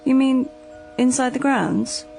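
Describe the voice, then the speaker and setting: An actress playing an expressive, fussing female companion who coaxes and chides in a radio drama. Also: sits high, slow, gravelly, faint music behind, exaggerated voice, rough recording. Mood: chiding, wary. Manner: coaxing, chiding, expressive. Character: brisk, fussing female companion to an elderly lady.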